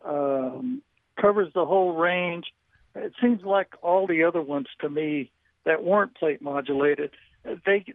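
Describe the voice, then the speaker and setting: A man calling in, chatting casually about old radio gear, halting and repeating words, with a voice he calls squeaky. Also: dry recording. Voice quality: self-described squeaky voice. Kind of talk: casual ham radio chat. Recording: tinny phone line.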